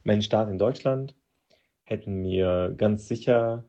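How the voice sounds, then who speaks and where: Hesitant low-voiced man from Moldova. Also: dark tone, muffled recording. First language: Russian. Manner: hesitant, with many pauses and restarts. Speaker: interview guest. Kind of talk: personal interview account.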